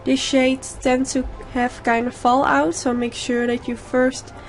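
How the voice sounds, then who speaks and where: A woman's voice: sits high, little pitch movement, unhurried, soft background music. Young woman with a sore throat. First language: Dutch. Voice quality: slightly hoarse. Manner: plain step-by-step explaining. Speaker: makeup YouTuber. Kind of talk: tutorial voice-over.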